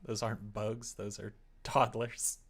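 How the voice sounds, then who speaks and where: A man with a fairly low voice and plenty of vocal fry streams while he works. He talks casually and loosely, muttering to himself as he goes. Dry little joking asides are mixed into the rambling. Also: level tone, a little slow, soft background music. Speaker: live streamer developing a visual novel. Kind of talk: casual livestream chat while working.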